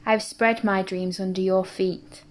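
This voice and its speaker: A woman reading a classic poem in a soft, even way. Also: mid-range voice, moderate pace.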